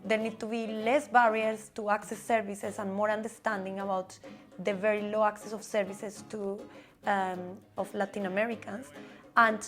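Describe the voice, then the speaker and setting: A woman with a mid-pitched voice speaks in a measured, formal way, building her points clearly. Faint music sits under her voice. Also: little pitch movement, a bit bright. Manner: measured, formal policy talk. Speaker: researcher or advocate. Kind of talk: documentary interview.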